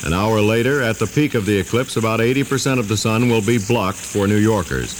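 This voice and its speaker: A man's voice: lowish voice, fairly quick, slight background noise. A man reading the news as a radio newscaster in 1970s style, on an old aircheck recording.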